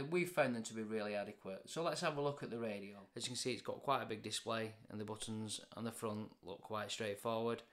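A man's voice: on the low side, a bit bright. A British man in his forties explains things in a plain, matter-of-fact way, as in an informal product review.

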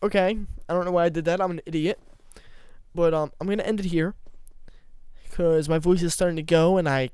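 A young man with a slightly high, smooth yet hoarse-edged voice rambles through casual gameplay commentary into a close desk mic. The sound is dry and close. He mocks himself and breaks into sudden loud laughing bursts. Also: steady tone, a little fast, dry recording. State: voice going hoarse. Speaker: gaming YouTuber. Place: small room at home.

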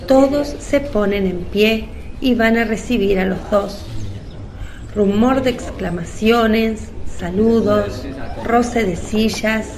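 A woman's voice: medium pitch, slow pace, a little mellow. A woman reading scripted narration for a religious radio drama.

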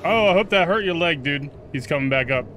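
A man giving casual first-look game commentary, excitable and sweary, reacting aloud and chatting to his viewers. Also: fairly high pitch, a little fast, over music. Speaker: gaming video host.

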